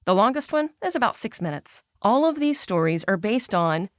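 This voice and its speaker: A woman's voice: medium pitch, sing-song, normal speed, no room sound, clean studio sound. A woman hosting an audio drama podcast, reading a scripted introduction. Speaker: host and audio drama creator.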